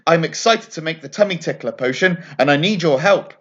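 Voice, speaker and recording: A man voicing a warm, eager young female witch in a children's bedtime story. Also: fairly high pitch, expressive, fairly quick, highs cut off, pitched above the performer's own voice. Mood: warm, excited.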